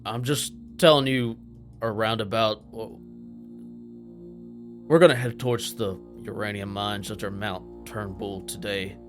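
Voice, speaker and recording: Male tabletop player, informal and direct, lowish pitch, light music bed underneath. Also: steady tone, a little slow. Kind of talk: casual tabletop roleplay chat.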